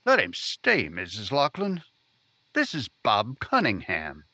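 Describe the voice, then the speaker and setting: A man's voice: mid-range voice, wildly swooping pitch, slow, bright, forceful tone, no echo, full character voice. A man playing a crusading, hard-nosed newspaper reporter in a 1950s-style radio drama, with emphatic, punchy delivery. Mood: firm.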